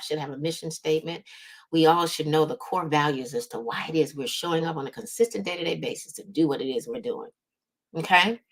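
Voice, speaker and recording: A woman giving motivational business advice as a coach, speaking emphatically, repeating words for stress and often checking in with the listener. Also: deep voice.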